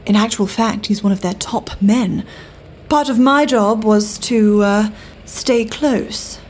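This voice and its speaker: A woman's voice: medium pitch, slow, darkish tone, slight background noise, lightly acted. An actress with a British accent playing a cool, worldly 1930s government agent woman in an audio drama, genteel, dry and arch. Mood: coolly detached. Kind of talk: full-cast audio drama acting.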